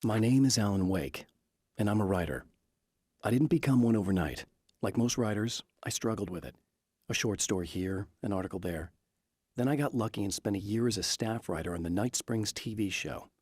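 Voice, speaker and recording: An actor playing an earnest, serious writer turned fighter against darkness in a video game, speaking in a measured way. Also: male voice, on the low side, a little mellow, slightly rough, no echo, clean studio sound. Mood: reflective. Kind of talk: video game acting, dialogue and reflective monologue.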